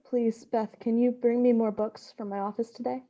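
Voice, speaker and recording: An actress playing an ailing woman scholar obsessed with dark magic, intense and portentous, in a gothic radio play. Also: on the high side, little pitch movement, normal speed, lo-fi sound, over loud music, close to an everyday voice. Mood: weak, pleading. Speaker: actress in an audio drama.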